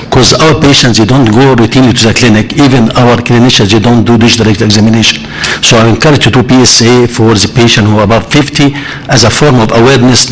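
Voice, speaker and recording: A man's voice: medium pitch, slight background noise, rough recording. A man, a physician on a conference panel, making a brief matter-of-fact comment.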